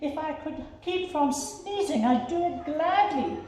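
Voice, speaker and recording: A woman's voice: high voice, lively intonation, measured pace, warm tone, slight background noise, pitched above the performer's own voice. A woman voicing a big, timid male elephant in a rhyming storybook, with a fretful, pleading sing-song. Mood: apologetic. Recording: echoey room.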